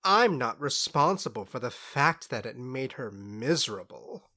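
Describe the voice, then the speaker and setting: Low-voiced, lively, mischievous fairy trickster. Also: female voice, unhurried, exaggerated voice. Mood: smug, defensive. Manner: theatrical, teasing, savouring words. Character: mischievous fairy trickster, sly and smugly unrepentant. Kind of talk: modern audio drama acting.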